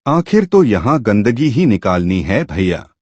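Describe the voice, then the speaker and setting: Man acting an amazed, chatty villager, lively delivery. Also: lowish voice, a little slow, no room sound, lo-fi sound. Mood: astonished. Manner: wheedling, repeats "brother".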